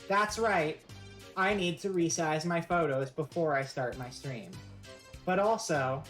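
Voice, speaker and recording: Low-voiced joking woman streamer. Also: a bit bright. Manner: rambling, joking, playfully mock-serious. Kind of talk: casual live-stream presentation.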